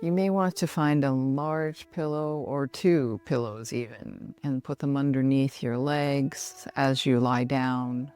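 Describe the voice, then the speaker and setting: A middle-aged woman guiding a relaxation meditation in a soothing, measured manner with long pauses. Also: very deep voice, darkish tone, faint music behind. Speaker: yoga nidra meditation guide.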